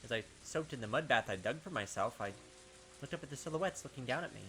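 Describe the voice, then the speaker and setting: A man with a bright, forceful voice of medium pitch narrates a visual novel. His delivery is dramatic and expressive, like a storyteller, with pauses for effect. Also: low-quality recording. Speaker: gaming video host.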